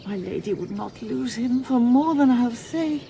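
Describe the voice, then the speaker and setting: A mischievous, quick-witted maid, acted by a woman, who teases in a brisk manner. Her voice sits fairly high and moves in a lively, playful way. She delivers her lines in the style of Shakespearean comedy. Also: gravelly, dull audio. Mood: mock-worried. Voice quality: brisk and teasing. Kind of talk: Shakespearean stage acting.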